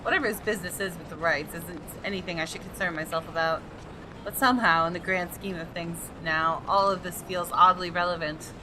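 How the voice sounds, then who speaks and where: A woman reading visual novel narration aloud with deliberate, dramatic storytelling. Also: fairly low pitch, background noise. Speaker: gaming video host. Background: music.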